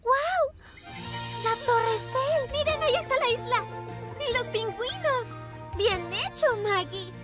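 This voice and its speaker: Very high-pitched plucky cartoon girl. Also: takes it slowly, full character voice. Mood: delighted. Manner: eager, expressive, exclamatory. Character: plucky, cheerful young girl adventurer, a born leader. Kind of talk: animated children's cartoon dubbing. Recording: music behind the voice.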